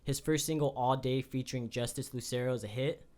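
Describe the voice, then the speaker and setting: Man, podcast co-host, average pitch, conversational presenting style. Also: steady tone, a little fast, a little mellow. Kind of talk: conversational guest introduction.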